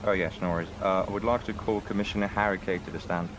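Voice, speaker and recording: A man's voice: low-pitched, steady tone, fast, background noise. A man acting as a defense lawyer in a roleplay court, formal but hesitant with fillers.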